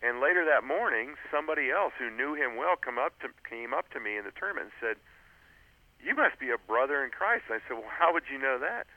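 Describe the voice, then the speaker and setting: A man's voice: mid-range voice, lively intonation, a little fast, no echo. A man who is an author and guest on a radio interview, telling a story earnestly with frequent "uh"s and restarts over a phone line. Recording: tinny phone line, dry.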